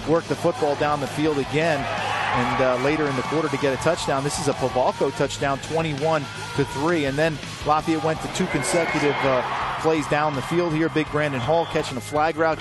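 A man narrating game highlights as a play-by-play commentator, brisk and full of stats. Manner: brisk, stat-heavy recap. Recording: voice-over with loud music bed.

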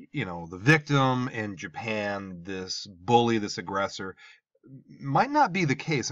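A man's voice: on the low side, a bit bright, dry recording. A man teaching a social studies class, talking through slides in a conversational, emphatic way with asides and restarts. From American Midwest. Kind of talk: classroom lecture over slides. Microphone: voice-over recorded over slides. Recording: dull dry voice-over.

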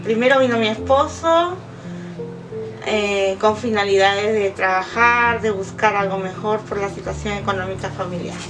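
A woman in her fifties from Peru, answering interview questions with emphatic, earnest speech. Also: moderate pace. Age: 50s. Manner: emphatic, rising pitch when stressing points. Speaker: interviewed migrant. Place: small indoor office. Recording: distant mic with background guitar music.

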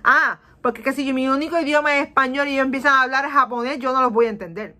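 A woman's voice: high-pitched, quick pace. A woman from Puerto Rico in her thirties with a Puerto Rican Spanish accent, teaching a Bible lesson to children over a webcam, acting out voices and slipping English in. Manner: animated, acts out voices of people, asks "¿ok?" often. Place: small indoor room. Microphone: webcam on a video call.

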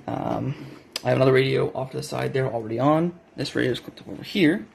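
A man's voice: mid-range voice, fast talker, gravelly, breathy edge. A man giving a casual, unscripted show-and-tell as a hobbyist, hesitant and correcting himself, recorded on a handheld cell phone indoors at a desk. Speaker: hobbyist video maker.

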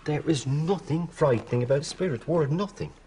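An actor playing a courteous, earnest and soothing psychic medium in a television sitcom. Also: male voice, fairly high pitch, a little fast, dark tone, natural voice. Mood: reassuring. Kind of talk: sitcom acting. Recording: studio audience, faint noise.

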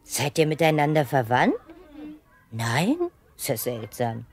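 The matron of a children's holiday home in an audio drama. Her voice sits low. She is brisk and kindly, speaking familiarly and often musing to herself. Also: darkish tone. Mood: brisk, curious. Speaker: voice actor. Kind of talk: children's audio play acting.

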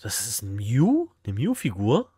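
Man, streamer, casual reaction chatter, colloquial exclamations, lively intonation, clear background noise. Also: medium pitch, a little slow. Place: indoor streaming room. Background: outdoor flea market video noise.

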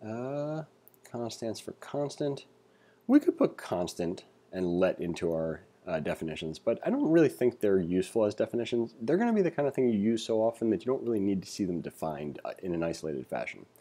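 A man with a fairly low, very mellow voice works as a programming tutor. He reads instructional text aloud and comments on it informally, with casual, self-deprecating asides. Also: big pitch swings, a little fast.

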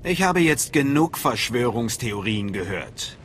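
An actor playing a haughty, guarded Sith sorcerer in a game, speaking formally with cold self-assurance. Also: male voice, mid-range voice, sing-song, unhurried, a bit bright, slight background noise. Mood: guarded, cool. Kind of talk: dramatic game voice acting.

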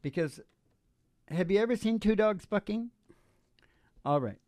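A man's voice: high-pitched, animated, unhurried, darkish tone. An older man hosts from a small stage, chatting into a microphone between songs. He rambles casually and philosophizes in an irreverent, profane way. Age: older, about 60. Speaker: singer and show host. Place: small dark curtained stage, indoors. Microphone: stage microphone on a stand.

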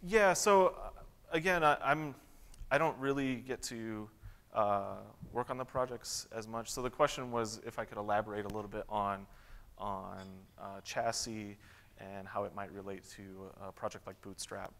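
A man in his thirties answering audience questions at a tech conference, relaxed, with lots of "um"s and restarts. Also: fairly low pitch, normal speed. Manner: relaxed, many fillers and restarts. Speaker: conference keynote speaker. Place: large conference hall stage. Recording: long hall reverb.

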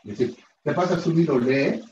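A man's voice: a little high, moderate pace, a little mellow. A middle-aged man gives a chatty, self-deprecating online lecture and keeps asking his students questions. His headset picks up a roomy echo, and the call audio sounds slightly dull. A faint hum sits under his voice. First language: Spanish. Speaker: university lecturer. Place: room at a whiteboard, indoors.

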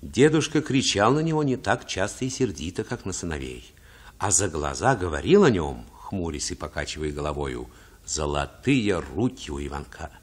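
Reflective man recalling boyhood, low husky voice, literary radio-play narration, expressive. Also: normal speed, heavily acted. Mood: reminiscent.

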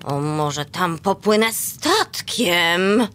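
A woman's voice: lowish voice, expressive, very slow, a bit bright, full character voice. A woman voicing a restless, daydreaming young male elf in a children's audio drama. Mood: wistful. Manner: wistful, self-justifying.

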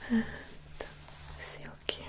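A young woman in an ASMR roleplay, speaking in a soft, breathy whisper with a soothing, halting delivery, close to the microphone. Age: young adult. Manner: soothing, hushed, halting delivery with long pauses. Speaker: ASMR performer playing a hairdresser. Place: small indoor set.

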